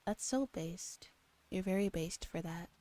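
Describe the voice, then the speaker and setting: A young woman streamer with a soft, breathy voice, reading a visual novel aloud in ASMR style and chatting playfully in casual slang. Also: lowish voice, a little slow. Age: young adult. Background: game music.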